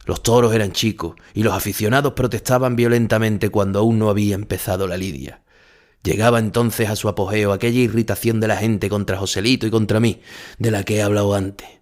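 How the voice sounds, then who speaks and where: A man plays an ageing bullfighter from Spain in an audio drama, speaking in a fairly low voice with a Spanish accent. He tells his memories in literary first person, measured and solemn, with intimate melancholy. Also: fairly even intonation, a little mellow, natural voice. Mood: grave.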